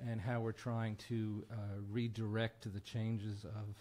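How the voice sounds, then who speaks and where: A man's voice: on the low side, level tone, unhurried, mellow. A man, a guest folk musician, talking casually and earnestly in a plain-spoken way as he introduces a song. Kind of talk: casual talk introducing a song at a live show.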